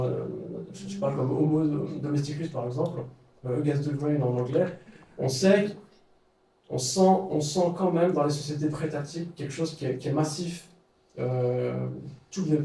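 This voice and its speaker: A man's voice: a little high, mellow. A man giving an informal talk, hesitant, with many fillers and restarts. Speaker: speaker leading a study circle. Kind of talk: informal lecture with questions. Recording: echoey room, far from the mic.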